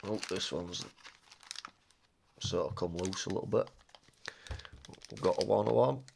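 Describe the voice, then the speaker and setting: A rambling, excitable man talks through a card unboxing in a fairly low voice. He sounds slightly stuffed up from a cold and chats casually, drifting off topic now and then. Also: measured pace, vocal fry, a little hiss or hum. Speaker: card-opening vlogger.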